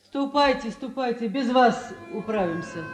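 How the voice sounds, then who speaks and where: A woman's voice: fairly high pitch, lively intonation, normal speed, close to an everyday voice, rough recording. Motherly farm cook voiced by a woman, music behind. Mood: caring. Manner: soothing, homely. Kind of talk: Soviet radio play acting.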